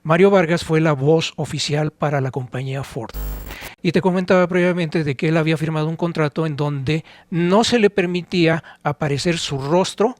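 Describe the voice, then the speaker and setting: A middle-aged man with a Mexican accent co-hosting a podcast, reminiscing conversationally in a nostalgic, digressive way with halting phrases. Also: on the high side, no echo. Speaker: podcast co-host.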